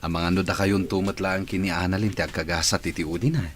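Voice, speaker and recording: An actor playing a frank, consoling young friend in a radio drama. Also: male voice, sits low, fairly even intonation, fast talker, a little hiss or hum, close to an everyday voice. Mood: earnest, consoling.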